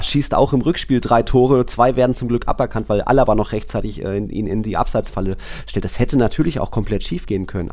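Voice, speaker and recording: A man with a fairly low voice hosts a casual sports podcast. He talks like an excitable fan, rambling from one thought into the next in loose, conversational German. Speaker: football podcast host. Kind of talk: casual football discussion.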